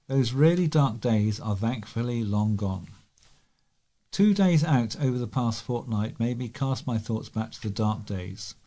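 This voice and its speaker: A man's voice: medium pitch, warm tone. A man reading local newspaper articles aloud as a volunteer news reader, with the occasional stumble.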